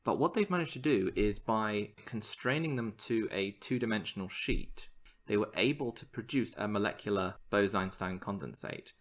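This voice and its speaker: A man's voice: lowish voice, moderate pace. A man co-hosting a podcast, explaining science news in a casual, enthusiastic way. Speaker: podcast co-host.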